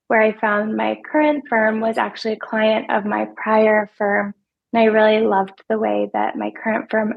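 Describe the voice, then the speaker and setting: A soft-spoken woman in her thirties, a financial advisor answering interview questions in a hesitant way, often repeating words as she thinks. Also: on the high side, vocal fry, rough recording. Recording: podcast remote interview.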